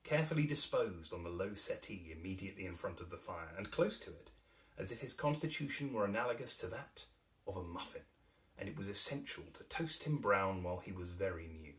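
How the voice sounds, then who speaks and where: A man narrating a classic novel as a dramatic reading, theatrical and expressive, with carefully shaped phrasing. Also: low-pitched, a little fast, far from the mic, low-quality recording. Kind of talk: dramatic literary audiobook narration.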